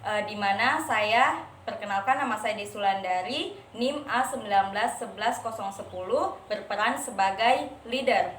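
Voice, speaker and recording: A woman's voice: mid-range voice, normal speed, a bit bright. Young woman leading a group, echoey room. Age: young adult. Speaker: group therapy leader in a nursing student role-play. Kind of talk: guiding a role-play session. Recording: roomy, distant, faint noise.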